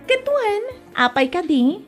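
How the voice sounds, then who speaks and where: A woman's voice: very high-pitched, sing-song, slow, soft background music, exaggerated voice. A woman playing a haughty, defensive young village woman in a comedy audio drama, indignant and dismissive. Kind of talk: Ilocano comedy radio drama acting.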